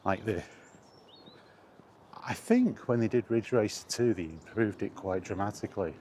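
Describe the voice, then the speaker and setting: A man's voice: lowish voice, moderate pace, a little mellow. A middle-aged man giving a casual, rambling, reflective monologue as a gaming vlogger, often repeating phrases for emphasis. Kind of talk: casual rambling monologue about video games. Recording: clear background ambient noise.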